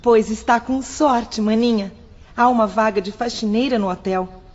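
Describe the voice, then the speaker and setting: A woman's voice: a little high, steady tone, moderate pace, darkish tone, close to an everyday voice. A woman playing a tender caring older sister. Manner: tender, reassuring. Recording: lo-fi with long reverb.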